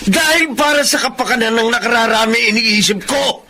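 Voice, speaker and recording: A man's voice: very high voice, a little fast, bright, forceful tone, a little hiss or hum, exaggerated voice. A man with a Filipino accent acting in a radio drama as a hot-tempered, principled fisherman, with combative, emphatic delivery. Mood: furious.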